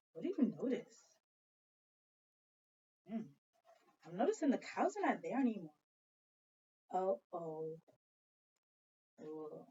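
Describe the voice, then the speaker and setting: Slow, high-voiced woman teacher reading aloud, distant sound. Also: dark tone, husky. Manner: dramatic storytelling, prompting listeners with questions. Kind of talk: expressive children's read-aloud and lesson. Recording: dull lo-fi sound, distant from mic.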